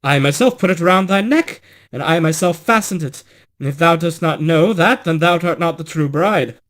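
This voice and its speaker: Man acting a commanding storybook prince, raised pitch. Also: moderate pace, fairly bright tone, lightly acted. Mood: shocked, stern. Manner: insistent, formal archaic phrasing. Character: king's son, stern, commanding young bridegroom.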